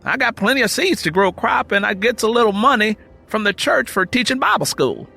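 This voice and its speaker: A man performs an earnest young country churchman in an audio drama, high and bright. He speaks steadily and respectfully in folksy Southern dialect, polite yet set on his purpose, with lively pitch. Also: a little fast, gravelly, faint music behind, heavily acted. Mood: earnest, pleading. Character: earnest young rural churchman suitor, twenty-seven, polite but determined.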